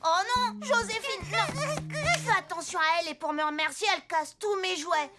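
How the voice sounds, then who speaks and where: A high-pitched, petulant kid in a cartoon. The boy is a stubborn, grumbling big brother who whines and sulks, with music behind the voice. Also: normal speed. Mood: exasperated. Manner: whiny, petulant. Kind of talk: children's cartoon dub acting.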